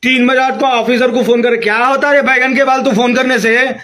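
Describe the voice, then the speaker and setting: A man in his fifties speaks with a Hyderabadi accent in a very high-pitched voice. He delivers an agitated statement to camera, scolding and repeating himself as he rants. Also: level tone, fairly quick, pushed and bright. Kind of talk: angry rant to camera. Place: small room indoors.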